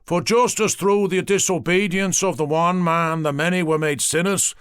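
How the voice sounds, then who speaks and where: A man's voice: sits high, steady tone, normal speed, fairly bright tone, smooth, no room sound, clean studio sound, voice pushed up. A man voicing a manic, zealous priest who preaches fervently and slips into rapture. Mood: sermonizing. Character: manic, zealous middle-aged male priest.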